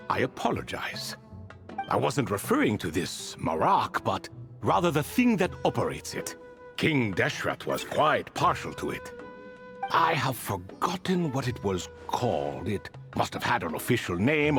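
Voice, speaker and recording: A man's voice: mid-range voice, a bit bright, full character voice. A man playing a proud, talkative, courtly ancient cat in a video game, speaking in a formal, pompous way. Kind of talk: video game character acting.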